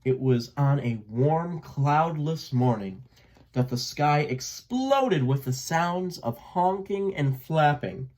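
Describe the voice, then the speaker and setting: A man narrating a children's storybook aloud in an expressive read-aloud style. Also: fairly high pitch, slow pace. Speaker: storybook reader.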